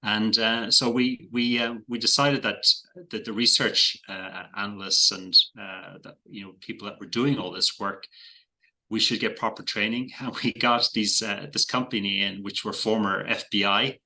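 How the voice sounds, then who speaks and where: A middle-aged Irish man with a low voice and a strikingly bright, forceful edge. He talks in an easygoing interview manner, circling back over his words as he finds the right phrasing. His laughs come lightly. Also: fairly even intonation, moderate pace, rough recording. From Ireland. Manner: thoughtful, repeats words while finding phrasing, light laughs. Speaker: investment guest. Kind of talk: casual interview chat.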